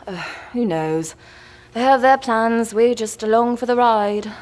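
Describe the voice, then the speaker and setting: A woman's voice: on the high side, a little slow, natural voice. A woman playing a wry, flirtatious but cautious married woman in an audio drama, speaking intimately.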